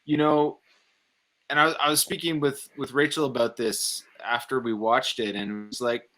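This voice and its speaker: A man co-hosting a casual film review, giving his opinions in a halting, conversational way. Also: medium pitch, little pitch movement, sharp tone, poor audio.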